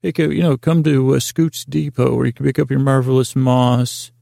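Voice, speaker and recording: A man with a mellow, deeply creaky voice rambles through a bedtime podcast monologue. His delivery stays on a flat, narrow pitch while his sentences tangle and circle back with goofy wordplay. It is the talk of a host helping listeners drift off to sleep. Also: moderate pace, no echo, studio quality. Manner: meandering, tangled sentences that trail and double back.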